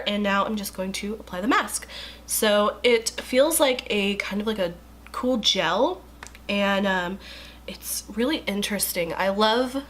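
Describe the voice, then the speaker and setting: A woman's voice: medium pitch, a bit bright. A young woman with a stuffy, congested voice chatting casually through a skincare review, with lots of likes and ums. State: congested from a cold. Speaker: beauty vlogger.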